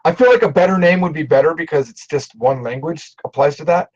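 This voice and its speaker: A middle-aged man and language teacher chatting casually on a video call, animated and self-deprecating. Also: on the high side, quick pace, dull audio. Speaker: Japanese teacher and show host. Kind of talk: casual livestream conversation.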